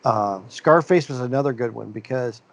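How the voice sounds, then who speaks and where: A man's voice: mid-range voice, normal speed, vocal fry, no room sound. Rambling middle-aged man, casual podcast chat. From American Midwest. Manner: rambling, many restarts and fillers. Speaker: podcast co-host.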